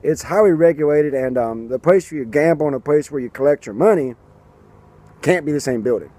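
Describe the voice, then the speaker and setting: Brief: man in his 30s, mocking outdoor vlog rant, a bit high-voiced. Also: lively intonation, a little fast, warm tone, no echo. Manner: sarcastic, mocking, puts on silly voices for quotes. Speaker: vlogger commenting on politics. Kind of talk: casual political commentary to camera. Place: outdoors by a garden and building. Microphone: camera at arm's length.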